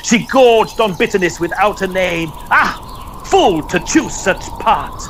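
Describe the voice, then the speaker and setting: Slow dramatic male poetry narrator. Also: high voice, animated, fairly bright tone, slight background noise. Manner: theatrical, measured, dramatic. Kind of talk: dramatic reading of a Victorian poem.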